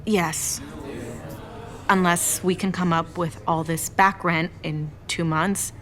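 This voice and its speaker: An actress playing a stressed, stubborn young Mexican American restaurant owner in an audio drama, exasperated and expressive. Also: female voice, fairly low pitch, slow pace. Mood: resigned, determined. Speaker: lead actress in romcom drama.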